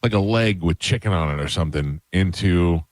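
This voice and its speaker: A man's voice: sits low, fairly quick, dry recording, studio quality. A man in his fifties co-hosting a radio show, talking in a wry, matter-of-fact way. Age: 50s. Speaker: radio co-host. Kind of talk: casual radio banter. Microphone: studio microphone.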